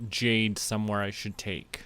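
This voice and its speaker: A man doing relaxed, casual game commentary, thinking aloud and muttering to himself in an unhurried way. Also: lowish voice, clean tone. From Canada. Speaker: game streamer.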